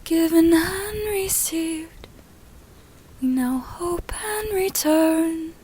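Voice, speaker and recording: Woman performing a high, slow, solemn ritual singer. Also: dark tone, heavily acted. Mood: solemn, reverent. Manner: slow, drawn-out singing.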